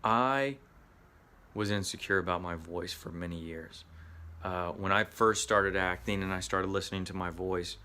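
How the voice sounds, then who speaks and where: A middle-aged man with a Southern US accent speaks earnestly to camera, coaching actors. His voice sits a little low, and he often repeats and restarts words as he goes. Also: moderate pace, bright, forceful tone, smooth. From US Midwest. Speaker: acting coach. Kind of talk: motivational talk to camera.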